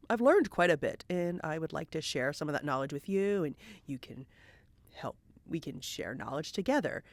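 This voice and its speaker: A woman's voice: on the low side, no echo. Encouraging woman teaching, in a dry recording. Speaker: voice actor giving a tutorial. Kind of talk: casual instructional talk to viewers.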